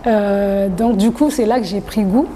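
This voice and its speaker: A woman from Congo-Brazzaville, chatting as an interviewed singer with hesitant, halting phrasing. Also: medium pitch, crowd noise. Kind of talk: casual interview chat. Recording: reverberant hall, background noise.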